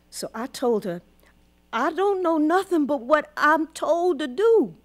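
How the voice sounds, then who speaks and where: Slow-spoken old Southern grandmother. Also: high voice, heavily acted. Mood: pained, intense. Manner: folksy dialect, deliberate and heartfelt. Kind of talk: radio drama storytelling monologue.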